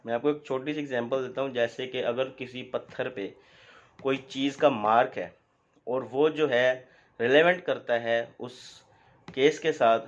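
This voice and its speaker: A man's voice: medium pitch, fairly even intonation, a little slow. A man with a Pakistani accent gives an explanatory law lecture, teaching didactically and often asking questions that he answers himself. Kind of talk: explanatory lecture, mixing Urdu with English legal terms. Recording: dull, lo-fi sound.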